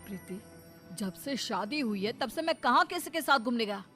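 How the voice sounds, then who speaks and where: A woman's voice: a little high, lively intonation, normal speed, sharp tone, soft background music. A woman voicing a tired, dismissive young husband in a cartoon story, speaking in an exasperated, defensive manner. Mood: pleading.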